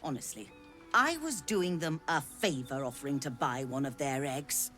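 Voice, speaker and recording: An actress playing a genteel, self-pitying scholarly trader in a video game, theatrical and effusive with affected politeness. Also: female voice, very deep voice, animated, normal speed, a bit bright, soft background music. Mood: indignant, evasive. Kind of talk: dramatic video game acting.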